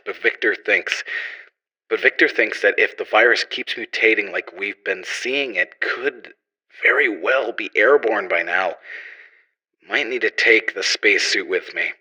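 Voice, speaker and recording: A man plays a weary, guilt-laden scientist hero in an audio horror drama. His voice is on the low side and halting, earnest as he clings to hope on a stranded space station. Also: sharp tone, exaggerated voice, low-quality recording. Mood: weary, losing hope. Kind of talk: audio horror drama, personal log monologue.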